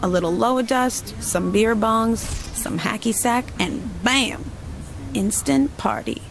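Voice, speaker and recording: A woman's voice: a little high, slow, vocal fry. An actress playing a brash, hard-partying young gang woman, cocky and flippant, in a video game audio log. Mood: carefree, boastful. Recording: noisy game capture.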